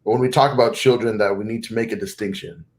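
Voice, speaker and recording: A young man with a fairly low voice and a North American accent speaks in an unhurried, conversational way. His first language was not English. He thinks aloud, restarting phrases and correcting himself as he goes. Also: steady tone. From Zimbabwe. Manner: thoughtful, restarts and self-corrections. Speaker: guest. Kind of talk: conversational interview.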